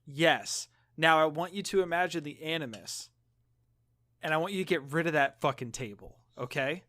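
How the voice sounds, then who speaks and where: A man's voice: a little high, normal speed, pushed and bright. A man co-hosting a podcast, telling stories in an informal, sweary way. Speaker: podcast co-host. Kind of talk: casual chat, storytelling.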